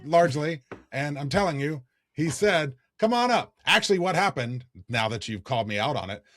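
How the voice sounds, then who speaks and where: A man co-hosting a podcast, telling a story in casual chat, his voice a little raspy from singing. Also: on the high side, normal speed, slight background noise. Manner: animated storyteller, jokey. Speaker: podcast co-host.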